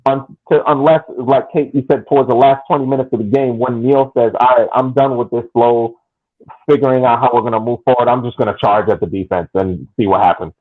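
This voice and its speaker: A man's voice: medium pitch, steady tone, fairly quick, narrow, tinny audio. A man co-hosting a casual sports podcast, giving long analytical takes with frequent restarts. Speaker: podcast co-host.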